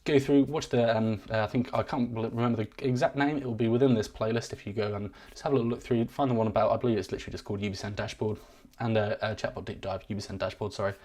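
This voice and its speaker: A man giving a live-streamed software walkthrough, rambling and self-deprecating, his voice wearing thin. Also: on the low side, fairly even intonation, fast talker. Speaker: software demo presenter.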